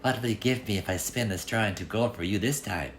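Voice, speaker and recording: Man putting on a sly little storybook imp, pitched up. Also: fast talker, a little hiss or hum, natural voice. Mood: bargaining. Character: funny little man, sly magical imp striking bargains. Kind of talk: storybook character voice.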